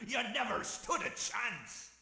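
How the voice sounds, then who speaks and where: A man's voice: very high voice, lively intonation, takes it slowly, creaky, sharp tone, full character voice. A man voicing a cunning, jolly animatronic pirate fox with theatrical pirate talk, for a horror game. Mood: gleeful menace. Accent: stage pirate.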